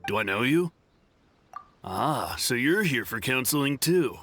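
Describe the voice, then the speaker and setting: A man's voice: medium pitch, sing-song, a little slow, music in the background. A man voicing a snooty, fervent follower of a spiritual counselor in a video game. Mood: haughty.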